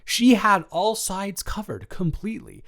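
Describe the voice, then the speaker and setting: A young man playing an awkward, eager, rambling ex-boyfriend in an improvised romantic roleplay audio, stammering and halting as he talks. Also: high voice, sing-song, creaky, fairly bright tone, full character voice. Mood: impressed, musing.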